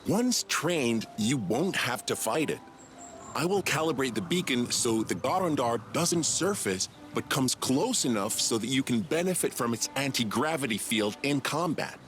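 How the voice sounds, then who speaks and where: A man's voice: medium pitch, expressive, normal speed, fairly bright tone, natural voice. A man voicing an eager, cocky young alien inventor who explains things condescendingly in a video game. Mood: eager explaining. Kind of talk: video game cutscene dialogue. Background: background music.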